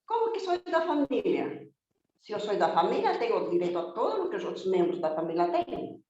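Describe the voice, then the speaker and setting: A woman's voice: medium pitch, very animated, a little fast, mellow. A woman who learned Portuguese as a second language, speaking with emphatic indignation and piling up rhetorical questions and lists. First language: not Portuguese, learned it on her own. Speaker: guest speaking for domestic workers. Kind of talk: impassioned spoken testimony. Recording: very echoey, dull lo-fi remote audio with faint noise.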